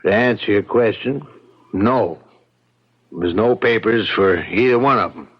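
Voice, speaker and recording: A man's voice: fairly low pitch, unhurried, breathy edge. A man playing a guarded, plainspoken frontier townsman in an old radio mystery, answering reluctantly. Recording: dull, band-limited old radio recording.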